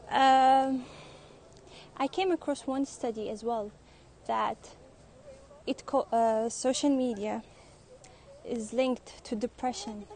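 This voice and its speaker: Young woman, student vlogger, unhurried and reflective, higher voice, faint background noise. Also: darkish tone. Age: young adult. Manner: slow, thoughtful, hesitant delivery. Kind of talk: street interviews and personal vlog talk.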